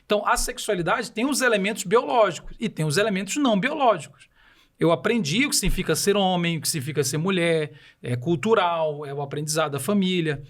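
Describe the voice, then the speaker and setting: A man's voice: sits high, animated, quick pace. A man from Belém do Pará with a Paraense accent, explaining ideas on a podcast and asking rhetorical questions as he goes. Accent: Paraense Brazilian Portuguese. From Belém do Pará, Brazil. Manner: didactic, uses rhetorical questions. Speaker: podcast guest.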